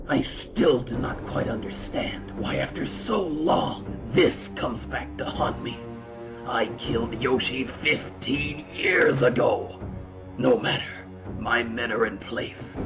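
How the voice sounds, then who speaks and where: Super slow menacing older ninja warlord, gravelly, pompous, comic book villain with music behind. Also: male voice, mid-range voice. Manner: slow, pompous, theatrical threats. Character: menacing arrogant older male martial arts master villain. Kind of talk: fan-made comic book voice acting.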